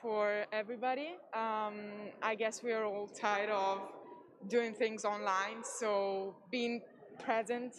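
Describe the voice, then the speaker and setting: A woman heading a committee, answering interview questions calmly. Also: mid-range voice, slow pace, slight background noise. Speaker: committee head.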